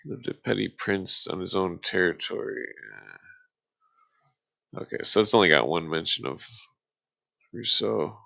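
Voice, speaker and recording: A man reading aloud casually on a live stream, halting and stumbling over formal text, with muttered asides. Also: sits low, creaky, sharp tone.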